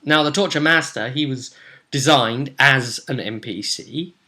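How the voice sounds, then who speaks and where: Young male vlogger and game master, bright edgy tone, casual, halting storytelling. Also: mid-range voice. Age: young adult. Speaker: tabletop role-play game master, vlogger. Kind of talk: casual recounting of a game session. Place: small room at home, indoors.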